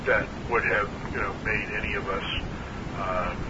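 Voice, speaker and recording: Sixties man, interviewee, halting and throaty; muffled, hissy phone recording. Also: on the low side, fairly even intonation. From New Hampshire. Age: 60s. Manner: hesitant, many uh fillers, measured. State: clearing his throat, frog in throat. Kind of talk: oral history interview.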